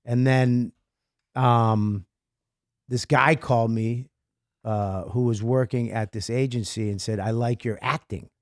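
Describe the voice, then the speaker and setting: A man's voice: mid-range voice, steady tone, slow pace, no echo, clean studio sound. A man from Boston with a Boston accent, a comedian guest telling his life story in a rambling, self-deprecating way. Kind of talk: interview storytelling.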